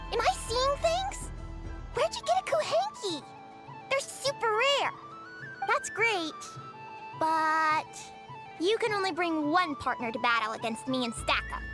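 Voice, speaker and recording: An excitable young girl from a video game, speaks in a very high voice. Her delivery swings widely and is unhurried, full of showy, cocky bravado. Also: heavily acted. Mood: amazed. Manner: theatrical, mock-announcer bravado. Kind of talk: animated video game voice acting. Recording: game music behind the voice.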